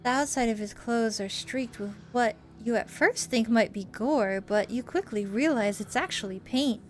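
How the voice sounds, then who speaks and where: A woman doing a chatty, expressive playthrough, reading a story game aloud and commenting as she goes. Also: a little high, normal speed, faint music behind. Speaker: gamer host reading a visual novel aloud.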